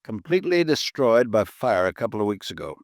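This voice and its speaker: An actor playing an easygoing, joking insurance branch manager in 1950s radio drama style. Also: male voice, fairly low pitch, sing-song, a little fast, no room sound, studio quality. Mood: breezy. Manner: breezy and joking.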